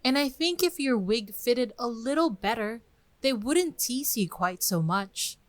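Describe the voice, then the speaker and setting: A woman's voice: fairly high pitch, expressive, measured pace, no room sound, pitched above the performer's own voice. A woman voicing a polite young girl, sympathetic and earnest, in a dramatic storybook reading. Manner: courteous, earnest.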